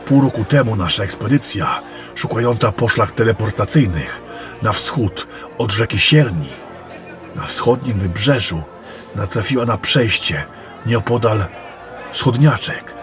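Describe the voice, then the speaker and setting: A man playing a secretive, scholarly adept of magic in a fantasy audio drama, speaking in a formal, measured, courteous way. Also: fairly low pitch, lively intonation. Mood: explaining. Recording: music and crowd murmur behind.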